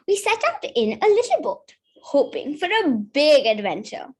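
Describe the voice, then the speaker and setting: A woman's voice: sits high, very animated, moderate pace. A woman reading a children's picture book aloud, carefully, with the odd stumble over a word. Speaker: story reader.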